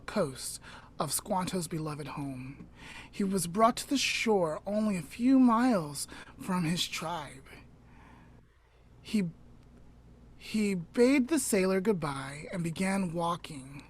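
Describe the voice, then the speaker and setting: Slow soft-spoken woman storyteller. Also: medium pitch. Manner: unhurried, drops to a soft near-whisper at line ends; chatty asides with self-corrections and fillers. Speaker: podcast co-host. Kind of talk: casual storytelling to a co-host.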